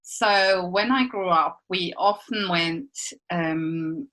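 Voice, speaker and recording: A woman's voice: on the low side, takes it slowly, fairly bright tone, poor audio. Swiss-accented woman co-hosting a chatty podcast. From Switzerland. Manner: chatty, laughs often, restarts sentences. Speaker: podcast co-host. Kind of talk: casual podcast chat.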